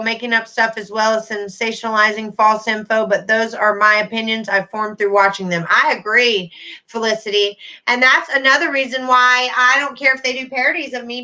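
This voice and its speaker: A woman's voice: fairly high pitch, sharp tone, poor audio. A middle-aged woman hosting a casual livestream, chatting to viewers by name with endearments. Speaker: YouTube livestream host.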